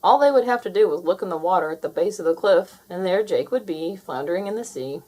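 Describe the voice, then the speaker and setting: A woman reading a children's story aloud as an expressive storyteller, in scripted narration. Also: on the low side, fast, low-quality recording.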